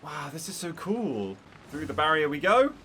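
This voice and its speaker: A man's voice: a little high, sing-song, normal speed, pushed and bright, slight background noise. A man hosting a casual game playthrough, chatty and enthusiastic as he reacts aloud. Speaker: gaming video host.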